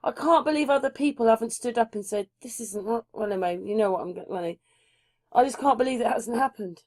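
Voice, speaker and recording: A woman's voice: a little high, a little fast, darkish tone. A woman hosting a casual group chat, chatty and asking rhetorical questions. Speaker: chat host.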